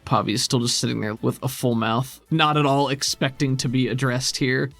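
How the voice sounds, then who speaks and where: A man's voice: mid-range voice, fairly even intonation, normal speed, vocal fry, fairly bright tone, faint music behind. A man narrating a tabletop roleplaying game as game master, in a casual, descriptive way.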